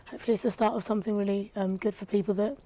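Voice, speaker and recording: A woman, a rapper and radio presenter, talking casually as a guest with a slightly raspy, tired voice and lots of fillers. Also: mid-range voice, fairly even intonation, rapid-fire, dark tone. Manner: chatty, thoughtful, with frequent fillers. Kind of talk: casual podcast conversation.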